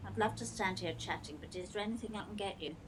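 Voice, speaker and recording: A woman's voice: medium pitch, fast talker, slight background noise, lightly acted, poor audio. An actress playing a cheeky young waitress in an audio play, dryly polite and standing her ground. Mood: curt, pert. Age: young adult.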